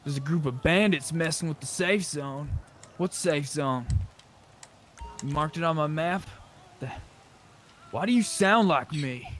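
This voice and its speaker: Higher-pitched, blunt man in game chat. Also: measured pace, long pauses, fairly bright tone, soft background music. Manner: blunt, heavy swearing. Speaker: online roleplay game player. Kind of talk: improvised in-character game chat.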